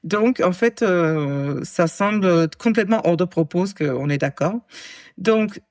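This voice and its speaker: Halting, chatty woman in her forties. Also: fairly low pitch, lively intonation, a little mellow, dry recording, clean studio sound. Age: 40s. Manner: halting, many fillers and restarts, wry asides. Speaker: true-crime podcast co-host telling the case. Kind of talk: casual storytelling chat.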